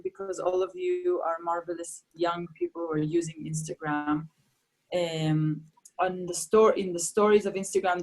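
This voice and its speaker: A woman's voice: fairly low pitch, rough recording. A young woman, a comedian whose first language is Farsi, talking casually in an interview with many ums and restarts. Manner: animated, many fillers and restarts. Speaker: comedian guest.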